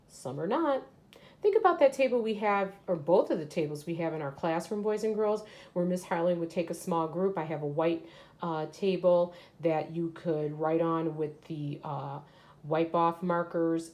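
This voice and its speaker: Slow-paced woman teacher reading aloud. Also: lowish voice, a little mellow. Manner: explanatory, addressing children. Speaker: third grade teacher. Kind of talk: read-aloud of a children's math book with teaching asides.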